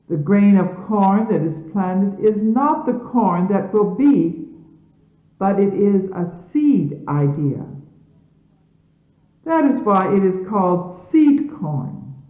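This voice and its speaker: A woman reading scripture as a solemn sermon in measured, emphatic, formal diction, on an archival recording from the 1970s. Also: medium pitch. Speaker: religious leader reading scripture aloud. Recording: phone-like, echoey 1970s archival tape.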